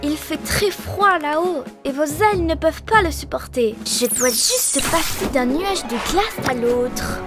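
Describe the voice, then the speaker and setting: A woman voicing a caring, earnest little girl who tells stories and gives gentle lessons in a French children's cartoon dub. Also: high-pitched, lively intonation, unhurried, hardly any pauses. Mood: worried. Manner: earnest storytelling. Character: sweet, caring little girl, moralising young cartoon friend. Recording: music behind the voice.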